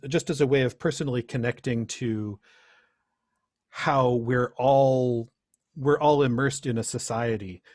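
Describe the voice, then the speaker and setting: A middle-aged man talks through a workshop presentation in an earnest, thoughtful way. His voice sits at an average male pitch with a rough, husky edge, and the sound around it is dry. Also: darkish tone, no room sound. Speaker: presenter, teacher.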